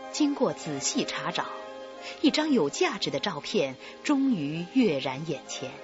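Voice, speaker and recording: A woman's voice: fairly low pitch, very animated, a little slow, muffled recording, music in the background. A woman giving scripted dramatic narration for a radio crime drama.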